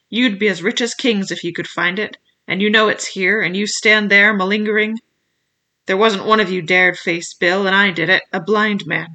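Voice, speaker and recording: Woman acting furious blind pirate beggar, forceful and loud. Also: on the low side. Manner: shouting, cursing, commanding. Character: furious blind old male pirate beggar, cruel, bullying, impatient.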